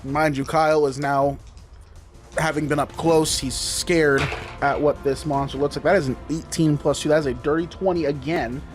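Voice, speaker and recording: A man's voice: fairly high pitch, fairly even intonation, normal speed, over music. A man playing a tabletop game, casually calling dice rolls and narrating his character's actions. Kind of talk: casual roleplay chat.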